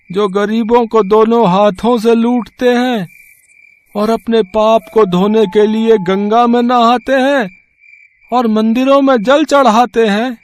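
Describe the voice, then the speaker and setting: A man voicing a brazen, tipsy father of sixty in a story reading, philosophizing scornfully with drawn-out emphasis. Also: sits high. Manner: slow, drawn-out, emphatic delivery. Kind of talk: dramatized Hindi short-story reading.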